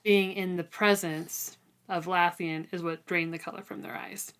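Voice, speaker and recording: A woman's voice: on the low side, fairly even intonation, moderate pace, fairly bright tone, smooth. A woman co-hosting a book discussion in a casual, tentative way, as if thinking aloud. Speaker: co-host of a book read-along podcast.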